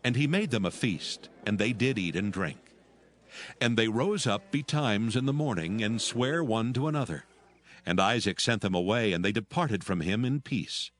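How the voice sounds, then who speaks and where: A man narrating scripture from a script in a formal, measured manner. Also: lowish voice, expressive. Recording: music behind. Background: music.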